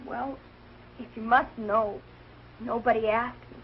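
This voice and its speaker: A young woman playing a sensitive, proud, easily embarrassed American high-school girl in a 1940s television sitcom. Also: fairly even intonation, measured pace, breathy edge. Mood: hurt, defensive. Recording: dull, hissy old television soundtrack.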